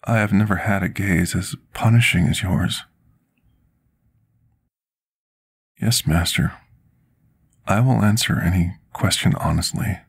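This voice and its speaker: Guy doing a timid potion master for asmr roleplay, deep husky soft voice, formal and deferential, bit of fry. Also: moderate pace, heavily acted. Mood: nervous, deferential. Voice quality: husky, gravelly. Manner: formal, polite, submissive, archaic wording. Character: gentle, timid, deferential male potion master serving a dragon.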